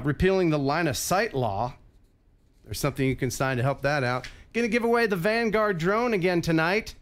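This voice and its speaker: A man's voice: a little high, smooth. Stuffy-nosed man in his fifties hosting. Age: 50s. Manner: upbeat emcee announcing, joking and laughing. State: stuffy from a head cold. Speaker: live stream host. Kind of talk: casual live show chat.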